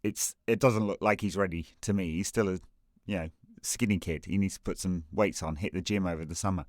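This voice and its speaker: A man's voice: low voice, a little fast, dry recording, clean studio sound. A man co-hosting a podcast, chatting casually about football and rambling with many fillers and restarts. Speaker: podcast co-host.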